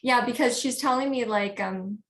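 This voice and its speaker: Soft-spoken woman medium, high voice. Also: normal speed. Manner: soft-spoken, frequent checking questions. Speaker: spiritual medium. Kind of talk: live spiritual reading.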